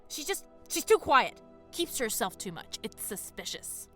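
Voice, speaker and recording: A woman's voice: fairly high pitch, sing-song, normal speed, sharp tone, faint music behind. A woman playing a blunt, suspicious young female sea dragon in an audio drama, arguing brusquely. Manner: argumentative, brusque.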